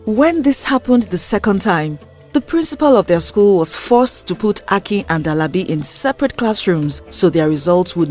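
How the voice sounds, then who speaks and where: A woman storyteller reading a scripted folktale in a measured, clearly phrased way. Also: mid-range voice, a little mellow.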